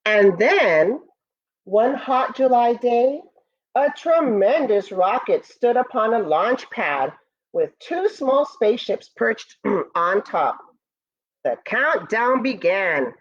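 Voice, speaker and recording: A woman's voice: a little high, very animated, takes it slowly, lo-fi sound. A woman reading a picture book to young pupils, expressively and with direct asides to her listeners. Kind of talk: children's read-aloud with asides.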